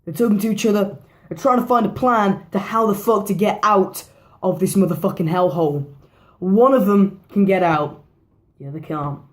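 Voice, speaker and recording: A woman with a hoarse, worn-out voice, giving a casual movie review in a rambling way, swearing freely and repeating herself. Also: medium pitch, moderate pace. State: hoarse, losing her voice.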